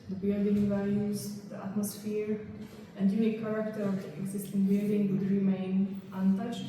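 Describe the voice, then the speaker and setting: A young woman with a Hungarian accent, a native Hungarian speaker, reading her conference presentation in English with many halting pauses. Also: medium pitch. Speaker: guest lecturer. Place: large lecture hall. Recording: echoey hall from far off, background noise.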